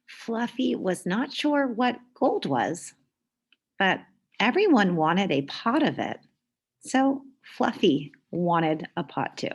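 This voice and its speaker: A woman reading aloud to children in an expressive storytelling style, with deliberate pauses and emphasis. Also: mid-range voice, husky.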